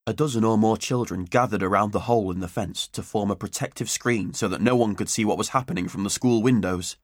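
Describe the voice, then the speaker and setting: A man's voice: lowish voice, fairly quick, no room sound, studio quality. A man reading a children's story as an audiobook narrator, in scripted narration.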